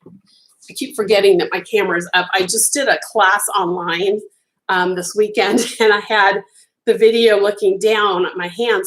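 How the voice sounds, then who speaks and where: A woman's voice: medium pitch, a bit bright, rough recording. Chatty middle-aged woman gushing over yarn. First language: Italian. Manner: chatty and enthusiastic, gushing over colors, many ums. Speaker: crochet designer hosting a live stream. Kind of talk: casual live-stream yarn unboxing chat.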